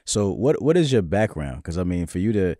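A man with a very deep, mellow voice hosts the conversation, affirming and probing in a relaxed way. The sound is close and dry from a podcast mic on a warehouse studio set. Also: a little fast, no echo. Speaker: podcast host. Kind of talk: interview questions. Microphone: boom-mounted podcast mic.

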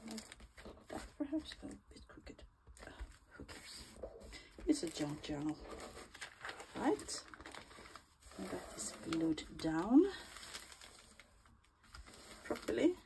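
A woman's voice: on the low side, creaky, noisy background. A woman vlogger with a stuffy cold, chatting casually through her crafting in a small home craft room and often murmuring half-whispered asides as she works.

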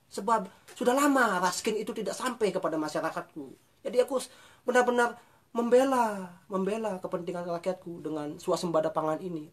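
Younger male god, pleading and apologetic, slightly husky, as a storyteller does him. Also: high voice, voice pushed up. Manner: pleading, explaining.

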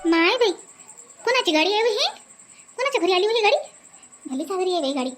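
A woman's voice: very high-pitched, sing-song, fairly quick. A woman voicing a jealous, boastful middle-aged village aunt in a comic cartoon, with a Varhadi Marathi accent and a nosy, scornful manner. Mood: envious, wishful. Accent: Varhadi Marathi from Vidarbha. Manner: nosy, scornful, muttering to herself.

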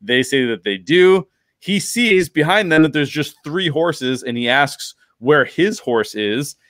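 A man's voice: fairly high pitch, animated, unhurried, a bit bright, no echo. A middle-aged man with an American accent, hosting a podcast in an enthusiastic, informal, conversational way into a close microphone. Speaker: podcast host. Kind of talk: conversational podcast introduction and film discussion. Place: bedroom at home. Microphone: close podcast microphone with headphones.

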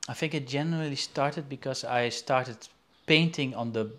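A man in his forties from the Netherlands, talking in a casual interview from a shelf-lined room over a video call, pausing often with fillers and restarts. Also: mid-range voice, fairly bright tone. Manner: halting, with frequent fillers and restarts. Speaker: guest artist and angler. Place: indoor studio room with shelves.

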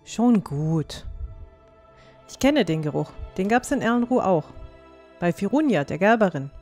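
A woman's voice: mid-range voice, expressive, a little slow, darkish tone, soft background music. A woman playing a plucky, teasing young dwarf squire in a fantasy audio drama, down-to-earth and matter-of-fact.

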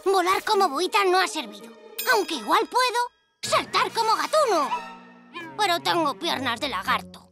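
A woman's voice: very high voice, big pitch swings, moderate pace, creaky, over music, heavily acted. A woman voicing an eager, unsure little boy superhero in a lizard costume, earnest and cartoonish. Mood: pondering. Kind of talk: animated children's series dub.

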